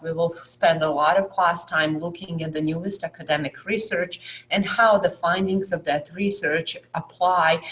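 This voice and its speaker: A woman speaks in a low-pitched voice as an associate professor. She gives a prepared, informational presentation in an academic setting. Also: a bit bright.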